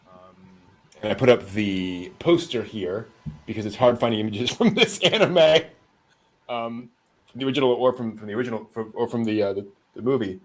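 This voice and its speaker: Man hosting with animated, swooping pitch. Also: normal speed. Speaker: host. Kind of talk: casual anime news discussion.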